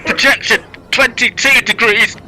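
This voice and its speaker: An actor playing a rattled artillery spotter who reports back in halting, hesitant bursts in a radio play. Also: male voice, very high-pitched, fairly even intonation, rough recording. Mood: tense.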